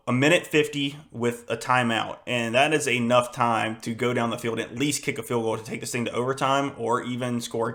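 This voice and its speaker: A young man hosting a casual sports podcast, hoarse and losing his voice, rambling with many fillers and restarts in a frustrated tone. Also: mid-range voice, a bit bright. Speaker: podcast host.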